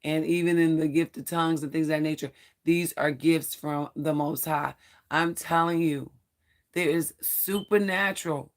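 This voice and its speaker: Slow, gravelly, hoarse Bible study host. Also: mid-range voice. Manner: earnest, halting over hard names. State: hoarse, losing voice. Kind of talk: reading scripture aloud with spiritual commentary.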